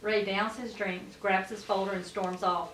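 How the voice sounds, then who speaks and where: A woman's voice: mid-range voice, steady tone, measured pace, a bit bright. A woman reading out stage directions as the narrator of a scripted table read. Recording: echoey room, distant mic, faint noise.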